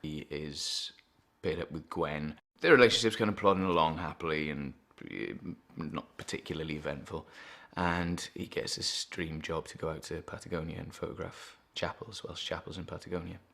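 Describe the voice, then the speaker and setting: A man's voice: rumbling low, steady tone, moderate pace, creaky, hardly any pauses, bright, forceful tone. A man actor in a documentary interview, plainly explaining the part he plays.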